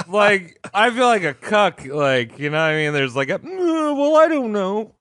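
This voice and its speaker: Lively, higher-voiced man mocking crudely. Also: no room sound, studio quality. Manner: animated, crude, quick to mock. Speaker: podcast co-host. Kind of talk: casual comedic podcast banter.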